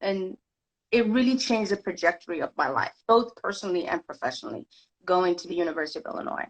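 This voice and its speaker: A woman's voice: on the low side, animated, fast, poor audio. A woman from Zimbabwe, a guest actor and director, telling her story in a podcast interview with frequent restarts.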